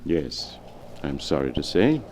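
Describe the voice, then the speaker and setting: A man acting a cold, calculating scientist in 1940s radio-drama style, speaking in a measured, deliberate way. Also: rumbling low, big pitch swings, darkish tone, exaggerated voice. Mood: cool.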